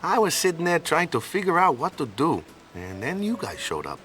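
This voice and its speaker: A man's voice: on the high side, huge pitch swings, normal speed, a bit bright, soft background music. An actor playing a laid-back, unsure young man in a game, talking easily with trailing "yeah?" tags. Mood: wistful. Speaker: game character.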